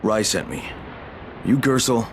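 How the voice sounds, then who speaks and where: A tough, wry undercover operative in a video game. His voice is low and blunt, with slight background noise. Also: sing-song, a little slow. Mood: matter-of-fact. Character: gruff, weary male undercover operative, reluctant enforcer. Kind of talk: video game cutscene acting.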